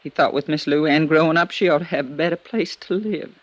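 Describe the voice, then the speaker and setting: An actress playing a loyal, deferential housekeeper with folksy, unschooled rural speech in a 1950s American radio drama. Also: female voice, sits low, fairly quick. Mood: deferential, subdued. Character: loyal, deferential female housekeeper devoted to her young charge.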